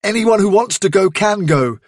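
A firm, commanding rabbit leader from a storybook , acted by a man, British and raised in pitch. He speaks briskly and stubbornly, with a husky edge and a close, dry sound. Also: expressive, no echo. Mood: firm, defiant.

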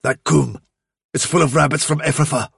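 Man voicing a husky, urgent rabbit scout. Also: on the high side, fairly even intonation, moderate pace, a bit bright, no echo, clean studio sound, heavily acted. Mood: panicked, grave. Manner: urgent, gasping. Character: frightened, urgent male rabbit scout bringing warning.

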